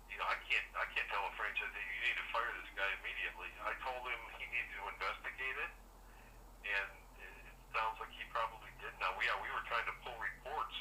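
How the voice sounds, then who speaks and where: A man's voice: on the low side, bright, forceful tone. An American man speaking firmly on a phone call as a company security director, explaining his position. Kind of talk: phone conversation. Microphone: telephone call. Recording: noisy, distant telephone call recording.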